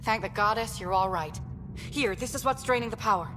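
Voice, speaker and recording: A fairly high-pitched, earnest alien engineer played by a woman in a sci-fi game. She sounds devout, sincere and urgent, with long reverb and music behind her. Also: a little fast, lightly acted. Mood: earnest, practical. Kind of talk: video game voice acting.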